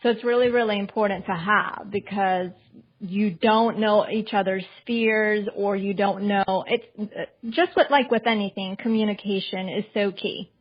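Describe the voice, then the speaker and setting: A woman in her forties from Colombia speaks as a guest in a casual interview. She is chatty and affirming and often fills pauses with "uh". The sound is slightly dull. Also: medium pitch, fairly even intonation. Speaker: podcast guest and author.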